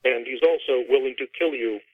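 A man's voice: medium pitch, a little fast, dry recording. Middle-aged man on a dull phone line. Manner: explanatory, talks at length about his fiction. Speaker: author being interviewed. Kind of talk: conversational interview. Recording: dull, band-limited phone or radio-like line.

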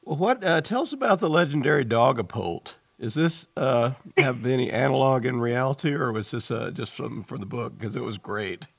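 A man's voice: mid-range voice, expressive, brisk, creaky, few pauses, dry recording. A man hosting a radio interview, asking casual questions with hesitations and laughing along. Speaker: radio host.

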